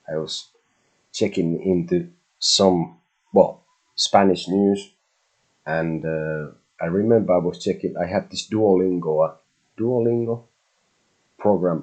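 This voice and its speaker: Low, husky Finnish-accented man. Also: a little mellow, low-quality recording. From Finland. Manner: loose and chatty, with restarts and mild swearing. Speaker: tarot reader hosting a live stream. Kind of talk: casual rambling monologue.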